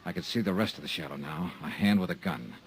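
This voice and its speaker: An actor as a deep-voiced hard-boiled investigator. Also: male voice, quick pace, faint music behind, natural voice, rough recording. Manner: terse, hard-boiled, dry understatement. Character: tough male insurance investigator narrating. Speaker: lead actor and narrator. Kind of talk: 1950s radio drama acting.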